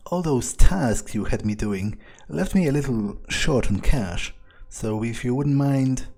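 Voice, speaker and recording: A man role-playing a cynical vampire underling in a video game playthrough, with a husky voice and a halting, muttering manner. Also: mid-range voice, lively intonation, a little mellow. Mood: reluctant, wheedling. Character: cynical, foul-mouthed, hesitant male vampire underling. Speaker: player role-playing his game character. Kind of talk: improvised in-character game playthrough.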